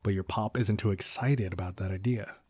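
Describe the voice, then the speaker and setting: A man's voice: fairly low pitch, brisk, darkish tone, husky, no echo. A man playing a cocky but considerate bandit leader in an audio roleplay, talking to the listener in a casual, folksy, wryly mock-courteous way. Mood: wry, conspiratorial.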